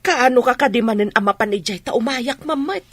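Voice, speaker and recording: Actress as a high, husky-voiced village mother. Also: brisk, no room sound, natural voice. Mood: curious, alarmed. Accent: Ilocano. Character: village mother, taken aback by gossip about her child. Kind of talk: Ilocano radio drama acting.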